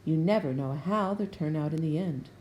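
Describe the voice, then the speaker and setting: A woman voicing a sly, teasing old male wizard in a storybook, speaking in a slow, knowing sing-song. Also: sits low, mellow, slight background noise, natural voice, poor audio.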